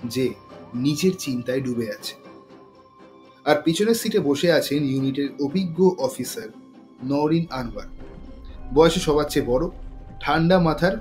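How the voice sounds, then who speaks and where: A man's voice: medium pitch, a little mellow. Narrator: man, mid-range voice, dramatic suspense storytelling with music bed. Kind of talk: scripted dramatic thriller narration.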